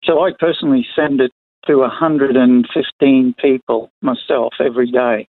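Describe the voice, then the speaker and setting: An older Australian man from Queensland answering interview questions in a plain-spoken, conversational way, pausing between short phrases. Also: mid-range voice, steady tone, no room sound. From far north Queensland, Australia. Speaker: interview guest, retired sugarcane farmer. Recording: narrow phone-like line, dry.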